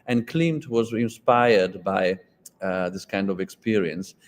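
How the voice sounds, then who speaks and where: An Italian-speaking man interviewing a guest in English, halting and restarting often, with many fillers. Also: deep voice. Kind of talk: conversational interview.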